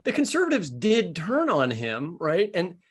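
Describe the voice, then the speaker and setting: An American woman speaks as an interview host in a casual chat. Her pitch swings in a lively way, and she works through questions informally, restarting and qualifying as she goes. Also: husky. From United States. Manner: informal, halting questioning with frequent "like". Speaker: podcast host.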